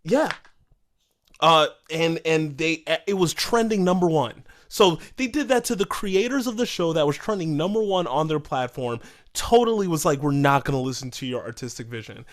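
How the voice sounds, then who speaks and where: A man co-hosting a podcast, discussing things casually in an animated way and acting out imagined quotes. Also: a little high, normal speed. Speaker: podcast co-host.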